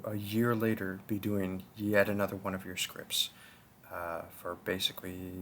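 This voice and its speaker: A soft-spoken man giving a casual, earnest outro to his listeners as an audio creator, with a hesitant delivery. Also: lowish voice, slight background noise.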